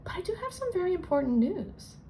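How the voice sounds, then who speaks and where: A tiny storybook elf girl played by a woman reader, excitable and earnest. The voice sits high and bounces in an emphatic sing-song with big swings of pitch. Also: fairly quick, a little hiss or hum, full character voice. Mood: excited, urgent. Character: small excitable young female elf.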